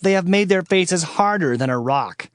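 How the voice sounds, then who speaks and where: A man's voice: sits high, animated, moderate pace, clear, resonant tone, no echo, studio quality. A man playing an anguished Hebrew prophet in a dramatized scripture reading, lamenting and pleading with theatrical emphasis.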